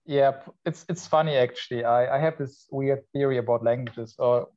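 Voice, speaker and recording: A man's voice: mid-range voice, steady tone, warm tone. Man, chatty. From Poland. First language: Polish. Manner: halting, with many restarts and laughs. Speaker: podcast guest. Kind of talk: casual chat. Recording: muffled lo-fi sound.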